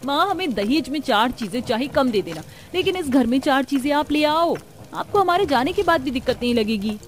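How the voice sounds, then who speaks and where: A woman's voice: high voice, lively intonation, brisk, voice pushed up. A woman voicing a frustrated young woman in a cartoon story, complaining and exclaiming about household trouble. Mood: grumbling. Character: frustrated young daughters-in-law grumbling about shabby household. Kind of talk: Hindi cartoon story character voices.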